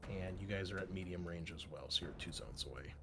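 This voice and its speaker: A man's voice: low-pitched, fairly quick, music in the background. A man narrating a tabletop roleplaying game as game master, in a casual, conversational way. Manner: casual, conversational, mild swearing.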